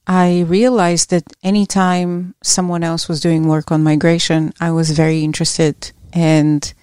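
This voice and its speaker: Low-voiced reflective woman academic. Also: flat delivery, a little mellow, clean tone, no room sound, clean studio sound. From Bulgaria. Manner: measured, reflective, with small restarts. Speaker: academic guest. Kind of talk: thoughtful interview answers.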